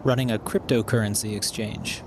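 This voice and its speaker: A man's voice: lowish voice, steady tone, normal speed, a little hiss or hum, lightly acted. An actor playing a weary, wry man bent on revenge in an audio drama, with a dry, understated delivery. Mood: determined. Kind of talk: naturalistic audio drama acting.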